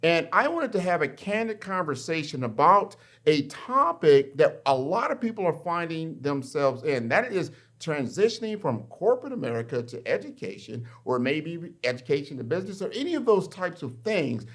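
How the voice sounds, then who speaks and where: Middle-aged podcast host guy, bouncy voice, a bit higher, chatty and upbeat. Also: moderate pace, few pauses. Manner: energetic, conversational framing of questions. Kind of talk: podcast interview hosting. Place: university in Miami.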